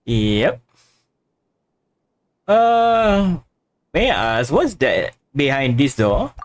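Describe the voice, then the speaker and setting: A woman streaming a game, chatting casually and reading text aloud haltingly, stumbling over words. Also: rumbling low, sing-song. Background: faint game music.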